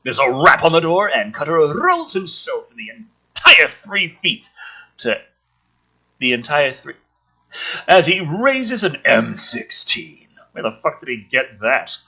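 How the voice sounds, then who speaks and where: A man's voice: a little high, wildly swooping pitch, measured pace, creaky, sharp tone, breathy edge, low-quality recording. A man sarcastically reading through a film script and commenting on it in a profane, mocking, theatrical way. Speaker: host of a script review show.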